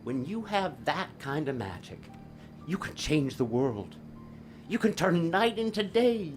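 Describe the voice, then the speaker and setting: Man as an earnest, slow-paced remorseful father. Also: fairly high pitch, big pitch swings, over music. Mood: tender, earnest. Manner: earnest, pleading, halting. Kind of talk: audio drama acting.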